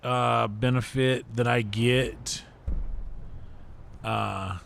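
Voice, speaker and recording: Slow-paced man thinking aloud on livestream. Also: mid-range voice, fairly even intonation, creaky, fairly bright tone, a little hiss or hum. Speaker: livestream host and gamer. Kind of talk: casual live game commentary, thinking aloud. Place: indoor livestream setup.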